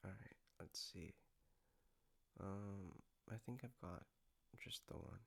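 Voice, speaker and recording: A man playing a gentle, doting partner in an intimate comfort roleplay, speaking tenderly and haltingly with a playful touch. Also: low-pitched, vocal fry, exaggerated voice. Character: gentle, doting male partner soothing a lover after a nightmare.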